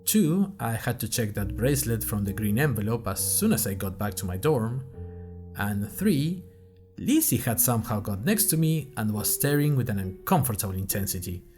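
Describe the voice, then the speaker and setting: A man playing a bemused university student who narrates a first-person audio diary in a dry, musing, deliberate way. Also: fairly low pitch, big pitch swings, over music. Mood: bemused, uneasy. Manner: dry, wry, musing in short deliberate phrases. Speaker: narrator of a fiction podcast.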